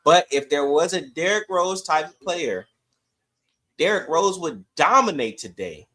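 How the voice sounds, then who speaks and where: A man's voice: a little high, lively intonation, measured pace, bright, forceful tone. A man co-hosting a sports podcast, chatting casually in slangy, emphatic bursts.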